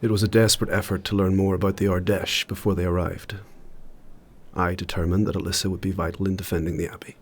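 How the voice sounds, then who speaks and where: An actor playing an ancient, solemn warrior spirit in an audio drama, speaking in a measured, grave and formal way. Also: male voice, level tone. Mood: solemn, regretful. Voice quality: low, husky.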